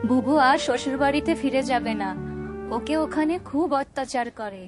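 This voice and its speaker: A woman's voice: high voice, normal speed, over music, natural voice. A woman voicing a caring, earnest young village woman in a Bengali cartoon.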